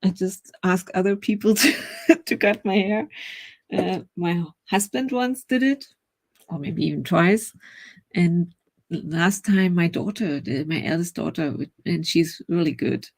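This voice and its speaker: A woman's voice: lowish voice, unhurried, darkish tone, no echo. A middle-aged German woman with a German accent, chatting casually and rambling as she hosts a writing livestream, often laughing.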